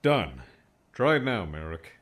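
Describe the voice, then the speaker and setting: A man voicing a gruff, grumbling older maintenance man in an audiobook, with a wry, folksy manner. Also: sits low, big pitch swings, unhurried, a bit bright, slight background noise, exaggerated voice. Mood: brisk, probing.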